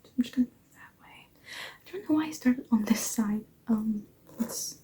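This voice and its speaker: A tired woman whispering softly through an ASMR face-measuring roleplay, yawning now and then and apologizing often. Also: a little high, moderate pace, slight background noise. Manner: soft whispering, apologetic asides.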